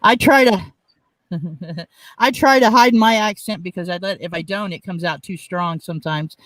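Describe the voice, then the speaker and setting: A woman's voice: on the low side, moderate pace, a bit bright, no room sound, recorded from a distance, rough recording. A woman with an Oklahoma accent, chatting and joking as host of a casual interview.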